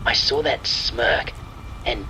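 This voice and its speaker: A man reading a scripted first-person horror story in a measured, deliberate storytelling manner. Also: mid-range voice, low-quality recording. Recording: steady rain noise behind the voice. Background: rain and thunder sounds.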